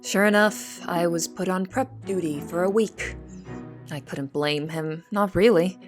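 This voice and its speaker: A woman playing a wry young cook who narrates her kitchen life in an expressive, storytelling way for an audio drama. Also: fairly low pitch, unhurried, close to an everyday voice. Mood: wry, reflective. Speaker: narrator and lead character. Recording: music behind the voice.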